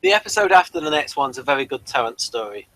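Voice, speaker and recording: A man's voice: medium pitch, huge pitch swings, quick pace, vocal fry, bright, forceful tone, low-quality recording. A man co-hosting a casual TV review chat, making understated, somewhat hesitant remarks. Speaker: co-host of a TV review show.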